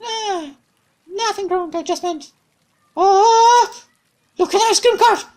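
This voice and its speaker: A woman's voice: extremely high pitch, slow pace, darkish tone, voice pushed up. A woman voicing a bubbly, cheerful young woman companion in a visual novel, with a raised, playful, exclamatory voice.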